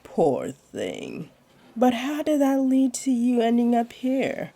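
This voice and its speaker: A woman voicing a flirty, comforting female jaguar beastling in a roleplay audio, with a deep, mellow voice and a teasing, soothing manner. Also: huge pitch swings, takes it slowly, gravelly, breathy edge, exaggerated voice. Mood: sympathetic, comforting.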